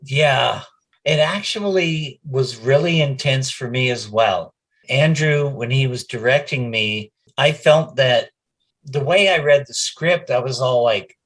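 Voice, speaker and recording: Slow-talking middle-aged male interview guest. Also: mid-range voice, a bit bright. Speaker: voice actor guest. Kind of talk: casual interview chat.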